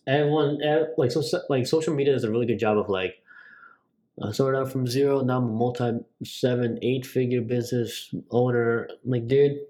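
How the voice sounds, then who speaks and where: A young man co-hosting a podcast, chatting casually, rambling and excitable with many restarts. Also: mid-range voice, normal speed. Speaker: podcast co-host. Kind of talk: casual chat.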